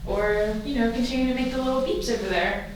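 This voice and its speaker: A young woman with a fairly high voice, speaking softly and invitingly to an unseen presence. Heavy echo surrounds her. Noise sits in the background. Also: fast. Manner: soft, inviting, gentle. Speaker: paranormal investigator. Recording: very echoey, noisy.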